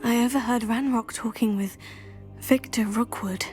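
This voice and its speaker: A woman's voice: fairly high pitch, fairly even intonation, normal speed, a little mellow, faint music behind, natural voice. A woman playing a polite, eager teenage student of magic in a video game, earnest and respectful. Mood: urgent.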